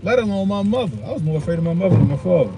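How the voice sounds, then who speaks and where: A man in his forties, a podcast host telling a casual story in a blunt, profane and animated way, full of slang. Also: sits high, racing, creaky, loud music behind the voice. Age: 40s. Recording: loud noise behind the voice.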